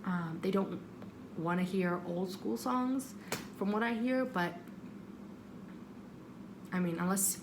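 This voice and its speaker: Chatty woman with creaky voice, noisy recording. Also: medium pitch, takes it slowly, long pauses. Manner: chatty, animated retelling, acting out quotes. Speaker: vlogger. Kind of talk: casual storytelling vlog. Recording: noticeable background noise.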